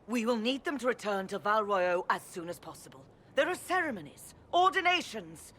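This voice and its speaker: A woman's voice: mid-range voice, sing-song, normal speed, a bit bright, slight background noise. An actress playing a solemn, insistent senior churchwoman in a fantasy video game, formal and pressing in her address. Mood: urgent. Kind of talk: video game cutscene acting.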